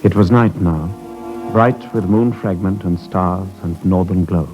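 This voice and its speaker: An actor narrating a wartime tale as a grave storyteller in a 1950s radio play. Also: male voice, deep voice, measured pace, over music. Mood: grave, lyrical. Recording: dull vintage radio recording.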